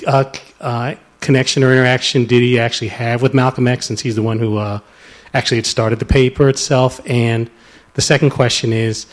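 A man in an audience asking a long, spontaneous question in hesitant, circling phrases. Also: mid-range voice.

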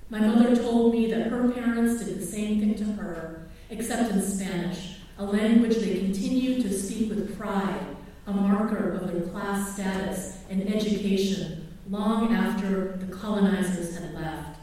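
Middle-aged woman author reading her memoir aloud, measured and reflective, mellow tone, distant mic in a very echoey room. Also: medium pitch. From Philippines.

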